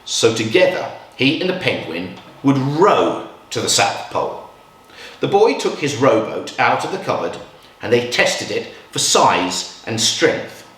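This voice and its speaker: A man reading a picture book aloud to children in a measured, expressive storytelling style with dramatic pauses. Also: mid-range voice. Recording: roomy echo, distant from mic.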